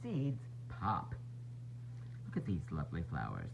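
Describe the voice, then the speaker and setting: A man reads aloud to small children in a teacherly, playful way. His pitch swings widely as he stresses words for drama and slips in asides. The sound is slightly dull and lo-fi, with a faint noise behind it. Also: wildly swooping pitch, unhurried, vocal fry. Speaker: teacher reading a picture book aloud. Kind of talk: animated read-aloud for young children.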